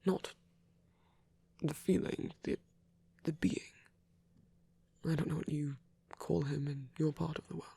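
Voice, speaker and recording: A man playing a gentle, shy vampire priest in an intimate ASMR roleplay, speaking in a husky half-whisper with a hesitant, apologetic manner. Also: a little high, steady tone, no room sound. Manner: hesitant, halting, apologetic, rambling.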